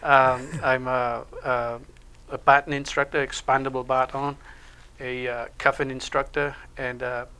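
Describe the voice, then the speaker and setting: A Welsh man chatting casually in a local TV interview, talking about himself with lots of pauses and fillers. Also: medium pitch, smooth. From Wales. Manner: relaxed, hesitant with many uh fillers. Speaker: show co-host and security instructor.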